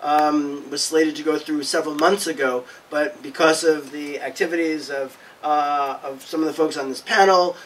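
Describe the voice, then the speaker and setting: A man's voice: a little high, steady tone, moderate pace, few pauses. A middle-aged man moderating a panel discussion in a conference room, speaking emphatically. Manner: emphatic, gestures broadly. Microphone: table mic. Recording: distant mic, faint noise.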